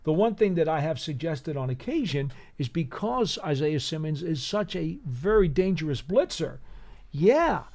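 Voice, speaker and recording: Man hosting sports radio, firm, reassuring, pushes back on callers, high voice. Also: big pitch swings, normal speed, darkish tone. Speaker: radio co-host.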